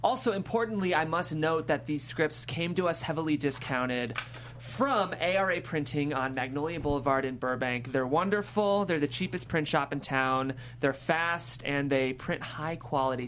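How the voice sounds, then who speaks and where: A man's voice: a little high, normal speed, a bit bright, slight background noise. A man hosting a panel show in a casual, upbeat, informal way, with frequent filler "like". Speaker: host of a table-read vodcast.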